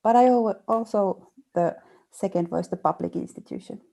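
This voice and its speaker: Woman, gravelly voice with lots of fry, hesitant, mellow. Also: mid-range voice, moderate pace. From Finland. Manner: hesitant, searching for words. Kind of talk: casual introduction in an online meeting.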